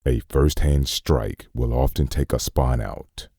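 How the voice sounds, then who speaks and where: A man playing a grim, menacing instructor, narrating a comic dub in a measured, solemn, deep and husky voice. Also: dark tone, dry recording, studio quality, full character voice. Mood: solemn, ominous.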